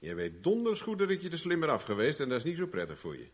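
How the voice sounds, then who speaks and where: An actor playing a cocky, shrewd private investigator in a 1970s radio play, mocking and self-assured. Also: male voice, fairly high pitch, wildly swooping pitch, fast, big-room sound. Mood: mocking, confident.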